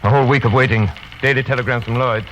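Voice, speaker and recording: An actor voices an anxious man logging a chase in an old radio play. His mid-range male voice is formal, clipped and very quick. The recording sounds muffled. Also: medium pitch, steady tone, background noise, lightly acted. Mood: tense. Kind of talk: 1930s radio drama narration.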